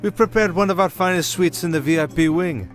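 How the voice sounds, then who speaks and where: A man's voice: high voice, fairly quick, natural voice. A man plays an obliging host at a fantasy spa resort. He speaks with formal, servile courtesy, as if to honoured guests. Music plays underneath the scene. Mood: welcoming. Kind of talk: scripted fantasy audio roleplay.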